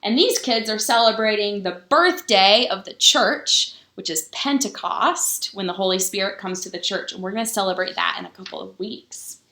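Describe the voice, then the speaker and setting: A woman pastor reading a children's book aloud for story time, pausing to explain things simply to young listeners. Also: medium pitch, pushed and bright. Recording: roomy, echoing sound.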